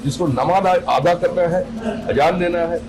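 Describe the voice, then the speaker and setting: A man's voice: on the high side, unhurried. A man giving his opinion in a news sound bite. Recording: busy crowd noise behind.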